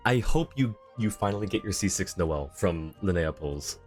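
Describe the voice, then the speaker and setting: A man's voice: low voice, expressive, moderate pace. A man streaming a video game, giving casual commentary and chatting with viewers in a friendly, informal way. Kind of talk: casual chat and live commentary. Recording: game music behind the voice.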